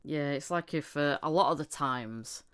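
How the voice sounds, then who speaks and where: A woman's voice: deep voice, normal speed, a bit bright, clear, resonant tone. A woman hosting a casual interview podcast, chatting informally. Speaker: podcast host, amateur voice actor.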